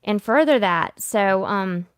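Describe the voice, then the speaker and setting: A woman's voice: mid-range voice, lively intonation, slow, clear, resonant tone, dry recording, clean studio sound. A woman hosting a podcast interview, conversational and leaning on "you know". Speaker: podcast host. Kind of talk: casual interview talk.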